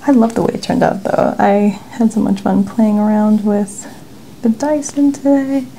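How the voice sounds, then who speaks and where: A young adult woman talking gently and softly, often near a whisper, in an ASMR hair-styling role-play with casual chat. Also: medium pitch, fairly even intonation, moderate pace, gravelly, a little hiss or hum, poor audio. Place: small indoor room. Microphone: clip-on lapel mic.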